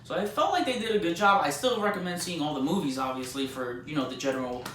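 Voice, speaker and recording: A man giving a casual, unscripted movie review, gushing excitably and often stammering over repeated words. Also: on the high side, fast. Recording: roomy echo, faint background noise.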